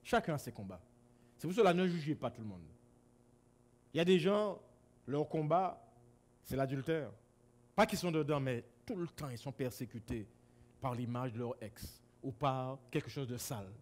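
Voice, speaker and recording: A man from Guadeloupe preaching a sermon to a congregation in a church hall, swinging between loud exclamations and low asides. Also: animated, moderate pace, pauses often. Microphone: camera-recorded.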